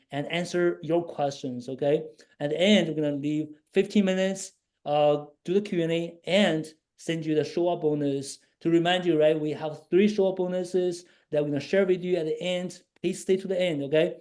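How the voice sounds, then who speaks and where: A man's voice: on the high side, mellow. A man hosting a webinar over a video call, making casual announcements and often checking in with "okay?". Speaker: webinar host.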